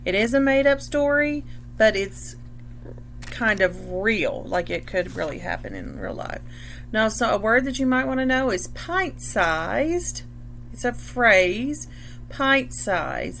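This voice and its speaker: A woman teacher reading a story aloud to children, deliberately and instructively, stopping to explain key words. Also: mid-range voice, very animated, creaky, fairly bright tone, a little hiss or hum.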